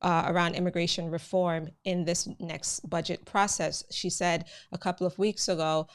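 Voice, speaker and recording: A Jamaican woman hosts a live immigration update in a relaxed, chatty way and keeps turning to her viewers. Her voice sits somewhat low and sounds smooth and clear. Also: normal speed. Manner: chatty, addresses viewers as guys, many fillers. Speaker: immigration news host. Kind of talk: live channel talk with viewers.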